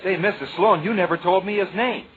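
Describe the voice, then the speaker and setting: A man's voice: high voice, a little fast, close to an everyday voice. A man playing a friendly, earnest bachelor who is fond of babies in an old radio comedy, easygoing and boyishly cheerful. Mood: playful, tender. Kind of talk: 1940s radio comedy acting. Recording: dull, noisy, crackly old radio recording.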